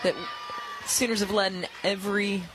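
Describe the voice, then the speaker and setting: An American woman working as color analyst, explaining softball play in an informal, conversational way. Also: mid-range voice, steady tone, normal speed, a crowd in the background, background noise.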